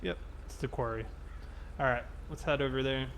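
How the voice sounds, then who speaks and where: A man's voice: mid-range voice, steady tone, moderate pace. Man roleplaying police, noisy vehicle background. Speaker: player roleplaying a police officer in an online game. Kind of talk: casual in-game roleplay chat and radio calls.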